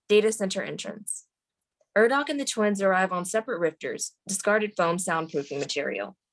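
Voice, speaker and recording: A woman with a medium-pitched voice serves as narrator at a table read. She reads out scripted scene headings and action. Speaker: narrator reading screen directions.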